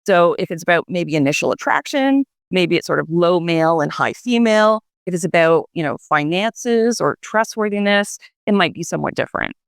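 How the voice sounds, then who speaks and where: A woman researcher explains her study in a radio interview, hedging with soft fillers as she goes. Her voice has a slightly gravelly edge. It sounds close and dry, with no room echo. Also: lowish voice, expressive, moderate pace, hardly any pauses. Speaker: psychology researcher guest.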